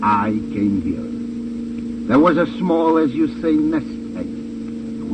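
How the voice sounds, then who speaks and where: An actor playing a smug, evasive Nazi smuggler with a German accent and formal, sardonic, stilted phrasing in a 1950s radio crime drama. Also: male voice, medium pitch, lo-fi sound. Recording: distant, band-limited old radio recording, faint noise.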